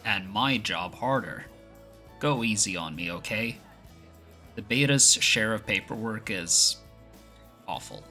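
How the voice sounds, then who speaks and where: Guy acting as laid back young clan second, good humored n playful, bright voice a bit on the low side, audio roleplay. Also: lively intonation, slow, pauses often, faint music behind, heavily acted.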